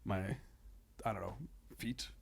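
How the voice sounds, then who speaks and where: A man chatting casually as a comedian guest on a podcast, talking in a halting, tangential way with frequent self-corrections. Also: lowish voice, fairly even intonation, creaky, slight background noise.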